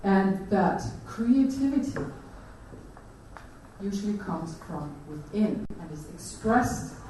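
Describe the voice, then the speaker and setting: Icelandic woman giving a talk, mellow voice, lots of ums, echoey hall, sounds far off from the mic. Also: medium pitch, expressive, slow pace, slight background noise. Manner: hesitant, many fillers and restarts. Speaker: conference speaker. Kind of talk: presentation talk. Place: large conference hall.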